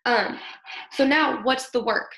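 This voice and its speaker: A young woman with a hoarse, worn voice coaches her team through an upbeat pep talk, checking in with them every few sentences. Her voice sits a little high but grates with fry. The call sounds like it was picked up in an echoey room. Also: slow, a bit bright. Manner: upbeat, coaching, keeps asking "okay?". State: losing her voice from illness. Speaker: team leader. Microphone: video call.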